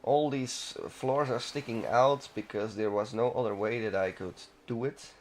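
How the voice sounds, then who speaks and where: Male gaming host, mid-pitched, unscripted and rambling walkthrough commentary, casual delivery. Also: fairly even intonation, normal speed, slight background noise.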